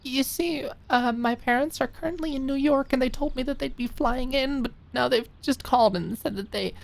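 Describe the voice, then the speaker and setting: A high, shaky young woman's voice performed by a female audiobook narrator, hurt and close to tears. She speaks haltingly and apologetically, pleading like someone confiding in her best friend. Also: vocal fry. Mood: shaky, bitter. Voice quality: shaky, trembling voice. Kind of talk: audiobook drama dialogue.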